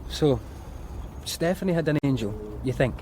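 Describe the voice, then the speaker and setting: An actress playing a probing, persistent police detective questioning witnesses, in a radio drama. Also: female voice, low voice, animated, normal speed, warm tone, rough recording. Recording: radio drama with street noise.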